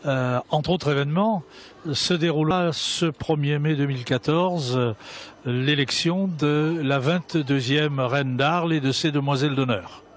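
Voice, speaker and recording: A man's voice: on the high side, a little slow, slight background noise. A man reporting on location from a town square, giving a formal introduction. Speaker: field reporter.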